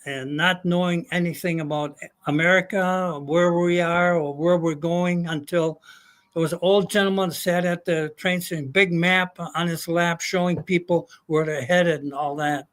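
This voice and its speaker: An older man from Germany telling his life story, halting with many fillers. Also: a little high, steady tone, a bit bright. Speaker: guest. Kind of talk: casual storytelling.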